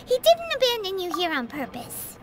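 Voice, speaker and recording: A woman voicing a tiny, bossy, chirpy fairy companion in a video game, with a squeaky childlike voice and an excitable manner. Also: moderate pace, full character voice. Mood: cheerful, reassuring. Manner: excitable, refers to herself by name. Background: background music.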